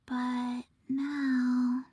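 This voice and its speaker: A woman voicing a small, caring childlike girl in a video game, with a deliberate, drawn-out delivery. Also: sits high, completely flat delivery, mellow, a little hiss or hum. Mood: worried.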